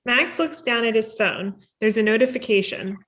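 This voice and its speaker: A woman's voice: on the high side, normal speed, fairly bright tone. Woman reading screenplay stage directions. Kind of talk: scripted narration.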